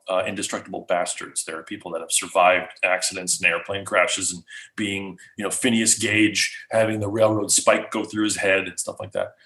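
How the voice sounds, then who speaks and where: A man, a guest speaker, answering questions casually in an animated way, restarting often. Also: fairly low pitch. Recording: roomy echo.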